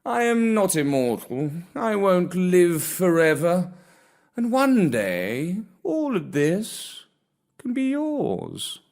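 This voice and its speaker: A man voicing a gracious, generous aging male cat with a purring, measured manner for a storybook. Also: high-pitched, very animated, gravelly, a little mellow, pitched above the performer's own voice, full character voice. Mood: courtly, affectionate.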